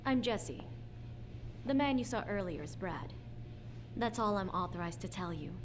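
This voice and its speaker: A woman's voice: mid-range voice, expressive, moderate pace, background noise, close to an everyday voice. A woman playing a polite, guarded young agent, courteous and matter-of-fact, in a video game cutscene.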